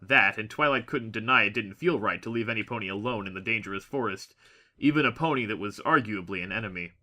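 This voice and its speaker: A man reading a scripted story as a narrator, with expressive, dramatic delivery. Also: fairly low pitch, fast talker. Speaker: audiobook narrator.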